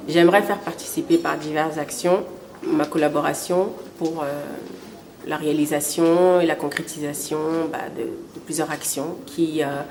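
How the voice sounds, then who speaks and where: A woman's voice: low-pitched, fairly even intonation, moderate pace, background noise. A woman in her twenties of Cameroonian origin, an aspiring model, speaking carefully and formally in an interview. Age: 20s. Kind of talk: testimonial interview.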